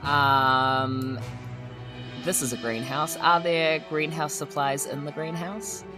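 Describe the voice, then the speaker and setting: A woman's voice: deep voice, fairly even intonation, takes it slowly, over music. A woman with a New Zealand accent, playing a tabletop game and asking the game master questions, laughing between them. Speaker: player. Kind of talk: casual tabletop play.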